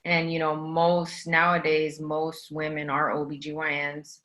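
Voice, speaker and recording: A woman's voice: deep voice, monotone, measured pace, a bit bright. A woman OB-GYN physician chatting casually on a conference panel, with frequent restarts and fillers. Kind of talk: panel conversation.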